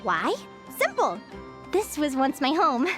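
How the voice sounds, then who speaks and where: A woman's voice: high voice, huge pitch swings, slow, music in the background, full character voice. An actress playing a cheerful young adventuring advisor in a video game, perky and instructive as she quizzes listeners. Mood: proud, cheerful. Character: Linnea, cheerful young female adventuring advisor teaching a crowd.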